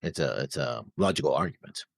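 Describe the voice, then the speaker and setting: A man co-hosting a reaction show, chatting casually and rephrasing as he argues his points in a halting way. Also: a bass voice, animated, creaky, no room sound, clean studio sound. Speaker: co-host of an anime reaction show. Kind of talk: casual discussion.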